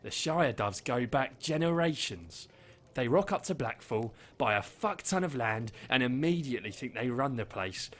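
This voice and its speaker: A man's voice: medium pitch, lively intonation, normal speed, fairly bright tone, close to an everyday voice. Barkeep who loves a gossip, man voicing, crude and chatty, faint noisy tavern behind.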